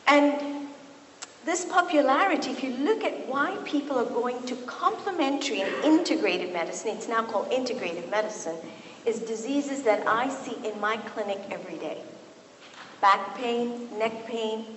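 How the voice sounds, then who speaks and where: Middle aged woman doctor from kenya giving a book talk, bit high voice, echoey hall. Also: normal speed, a crowd in the background. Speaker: physician and author giving a talk. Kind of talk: public talk at a book event. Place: large resonant university hall. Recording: echoey hall, some background noise.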